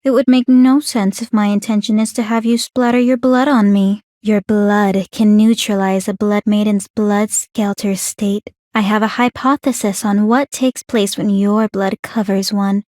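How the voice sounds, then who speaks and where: An actress voicing a calm, clinically curious young woman in a video game, speaking in a formal, measured, coolly teasing way. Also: mid-range voice, no room sound, studio quality, close to an everyday voice. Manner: composed, measured, formal, inquisitive. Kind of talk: scripted video game character voice lines.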